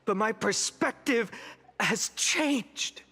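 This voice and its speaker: An actor playing an earnest young heroic leader in a fantasy game, giving a solemn, measured speech to his city. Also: high-pitched, expressive, bright, forceful tone, husky, heavily acted. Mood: rousing, resolute. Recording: long hall reverb with music behind. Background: music.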